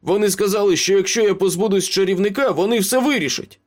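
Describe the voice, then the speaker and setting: Man acting out a high-pitched, frantic, cowardly traitor. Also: sing-song, normal speed. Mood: panicked pleading. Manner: frantic, gasping pleas and protests. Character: wounded, cowardly young male traitor, pleading and defiant.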